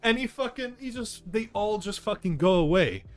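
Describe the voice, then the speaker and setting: A young man in casual, animated, slangy chat, swearing freely as he tells stories. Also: sits high, normal speed, soft background music. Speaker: podcast host.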